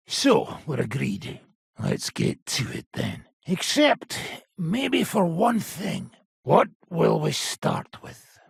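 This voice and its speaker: Raspy, swaggering medieval mercenary captain. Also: male voice, fairly high pitch, a bit bright, no echo, studio quality, full character voice. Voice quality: raspy, gravelly. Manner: blunt, swaggering, earthy swearing. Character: gruff, foul-mouthed mercenary nobleman captain, cynical and cocky. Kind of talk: video game character acting. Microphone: close, dry studio microphone.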